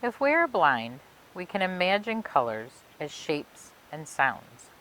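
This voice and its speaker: Low-voiced woman reading a picture book, lively pitch. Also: measured pace, rough recording. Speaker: library storytime reader. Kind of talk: expressive children's picture book read-aloud. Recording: clear background noise and hiss.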